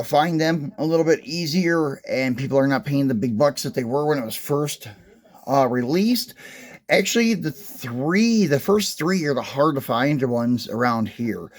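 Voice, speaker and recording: A man hosting a casual die-cast car review, talking in a chatty, rambling, folksy way, slightly hoarse from a cold. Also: a little high, a little mellow. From West Virginia. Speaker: die-cast car collector and channel host. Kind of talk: casual hands-on product review.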